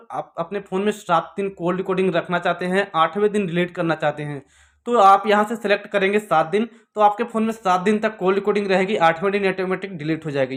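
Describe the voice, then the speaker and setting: High-pitched man giving instructions. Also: a bit bright. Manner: repetitive, instructional phrasing. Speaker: tech tutorial presenter. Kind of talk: step-by-step phone tutorial narration.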